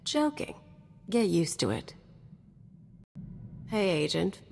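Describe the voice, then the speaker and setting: A woman's voice: lowish voice, wildly swooping pitch, slow pace, gravelly, a little mellow, slight background noise, heavily acted. A woman playing a brash, scheming, vindictive mercenary in a video game, with a cocky, sardonic, slangy delivery. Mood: teasing. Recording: long reverb, music behind.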